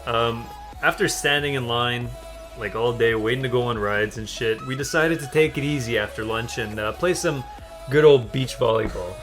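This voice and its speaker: A man's voice: medium pitch, moderate pace, a bit bright. Young man telling stories over background music. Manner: rambling, amused, casual swearing. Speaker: gaming channel co-host. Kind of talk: casual storytelling chat over gameplay.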